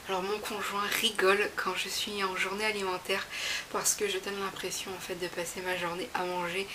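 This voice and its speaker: A woman's voice: mid-range voice, pushed and bright. A woman talking casually to her viewers in a vlog, chatty and reassuring, with frequent fillers and restarts. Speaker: vlogger sharing her weight-loss progress. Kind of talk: casual direct-to-camera vlog. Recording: audible background hiss.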